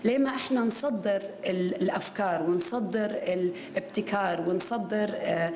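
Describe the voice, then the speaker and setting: A woman speaks into a podium mic in a big conference hall. Her words carry around the room and the sound is a little muffled. She pauses often, searches for words, and restarts her sentences. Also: medium pitch, slight background noise. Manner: animated gestures, many fillers and restarts. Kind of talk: conference talk. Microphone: podium microphone.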